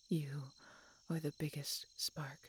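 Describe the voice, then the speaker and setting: Woman doing a hurt old dragon lady, low n whispery, weak, tender, pauses a lot, audio drama w some background noise. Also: level tone. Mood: loving, sorrowful. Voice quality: breathy, whispery. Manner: halting, weakened, pained delivery with dramatic pauses. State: weak and in pain. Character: mortally wounded centuries-old female dragon, tender and resigned. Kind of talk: dramatic audio roleplay addressed to listener.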